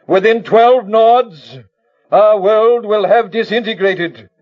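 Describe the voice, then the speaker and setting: A man's voice: high voice, slow. A man playing a grave alien elder, a scientist leading a doomed planet, declaiming theatrically in an old radio drama. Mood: solemn. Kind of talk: old-time radio sci-fi drama acting. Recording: dull lo-fi old radio recording.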